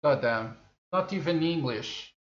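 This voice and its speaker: A man's voice: fairly high pitch, animated. A man in his forties, a native Portuguese speaker, hosting a tech review channel and unboxing a product at a studio desk in a casual, thinking-aloud way. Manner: slow, chatty, thinking aloud with many fillers. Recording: echoey room, slightly dull audio.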